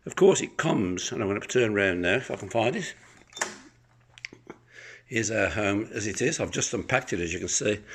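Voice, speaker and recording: A man's voice: fairly low pitch, expressive, normal speed, long pauses, noisy background. A man giving a casual, unscripted demonstration of an item he is selling, rambling and hesitant, recorded on a handheld phone in a living room. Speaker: private seller showing an item for sale. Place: living room of a home apartment, indoors. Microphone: handheld phone held by the speaker behind the camera.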